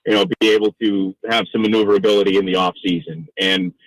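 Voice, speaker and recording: Man, low pitch, from the Northeastern US, sports announcer, conversational interview, wordy, dry close recording. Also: steady tone, fast, no echo, rough recording. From Northeastern United States. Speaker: basketball play-by-play radio announcer, interview guest.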